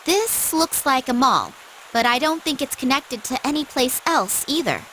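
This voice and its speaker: A woman voicing an earnest, girlish android who explains things gently, in an English anime game dub. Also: sits high, expressive, moderate pace, slight background noise, rough recording. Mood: explaining.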